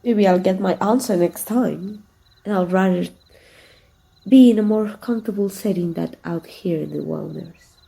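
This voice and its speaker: Mellow, teasing villainess played by a woman. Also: mid-range voice, normal speed, gravelly, natural voice, low-quality recording. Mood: flirtatious, intimate. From Mexico. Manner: teasing, intimate, assured. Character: flirtatious, confident female villain secretly fond of her rival. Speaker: amateur voice actor. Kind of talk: ASMR roleplay, soft intimate acting.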